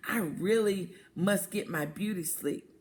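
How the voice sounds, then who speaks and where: A woman's voice: medium pitch, a little slow, lightly acted. Storybook cat, read by a woman: prim, lazy, self-regarding, languid pace. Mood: fussy refusal. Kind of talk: storybook character voice for children.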